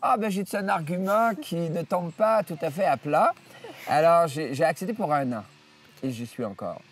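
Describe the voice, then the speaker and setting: A man, a priest telling stories from his life in an interview, rambling with many hesitations and restarts and lively retelling of what others said. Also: a little high, normal speed, recorded from a distance, faint music behind. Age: 70s. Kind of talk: storytelling interview. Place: outdoors in nature.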